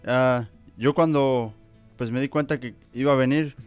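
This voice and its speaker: A man's voice: medium pitch, steady tone, normal speed, smooth, faint music behind. Fervent missionary man from Mexico, resonant and clean. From Veracruz, Mexico. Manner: earnest, preacherly. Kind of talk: fervent testimony.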